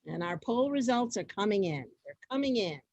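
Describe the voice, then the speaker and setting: A woman hosting an online event over a video call, chatting informally and haltingly, often repeating her phrases. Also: medium pitch, big pitch swings, darkish tone, husky, no echo, dull audio. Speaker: host of an online reader's theater event.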